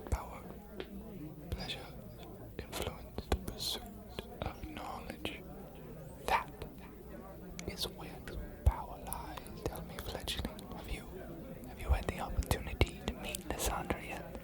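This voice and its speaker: A man playing an ancient, aloof male vampire scholar in an ASMR roleplay, speaking in a formal, musing, courteous whisper. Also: natural voice. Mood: philosophical, guiding. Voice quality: breathy whisper. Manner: measured, formal, musing, hesitant pauses.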